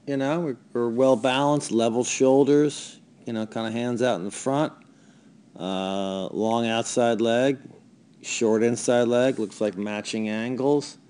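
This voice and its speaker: A man coaching a young ski racer in a voice-over, talking over video in a relaxed, hesitant way with many fillers. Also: mid-range voice, measured pace, clean tone.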